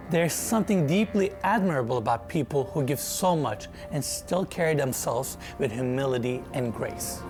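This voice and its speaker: A young man whose first language is Turkish, hosting a travel vlog with excited on-location chat and reflective voice-over. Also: mid-range voice. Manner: excitable, exclaims and laughs while crawling through tight spaces. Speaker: host. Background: background music.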